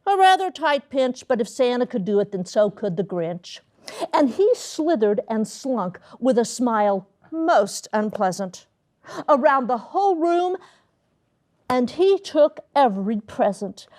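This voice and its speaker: A woman reading a children's storybook aloud to schoolchildren in a theatrical, expressive manner, stretching key words. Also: a little high, a little slow. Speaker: storybook reader, college dean. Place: elementary school classroom.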